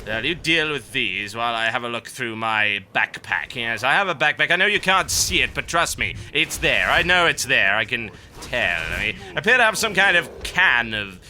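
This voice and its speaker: A man's voice: medium pitch, lively intonation, moderate pace, few pauses, bright, forceful tone, a little hiss or hum, voice pushed down. A man voicing a pompous old British army officer in a haughty, clipped posh British accent, while playing a co-op game. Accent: put-on posh British. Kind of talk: in-character co-op game commentary.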